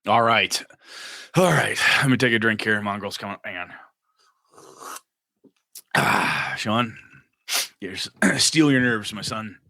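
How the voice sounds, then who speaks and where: A man's voice: on the low side, takes it slowly, vocal fry, long pauses, pushed and bright, dry recording, clean studio sound. A man co-hosting a casual gaming podcast, reading listener letters aloud with jokey asides.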